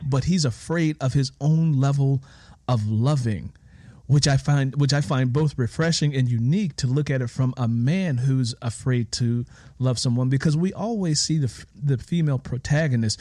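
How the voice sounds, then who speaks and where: A man hosts a thoughtful interview in a medium-pitched, mellow voice. His phrasing is deliberate and wordy. The sound is dry, with very little room echo. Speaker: interview host.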